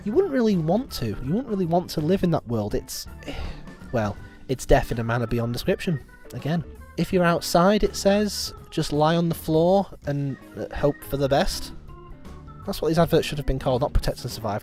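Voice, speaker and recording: A young British man hosts a video retrospective with commentary that rises and falls freely. His voice is a bit high, and soft music runs underneath. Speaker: reviewer and host.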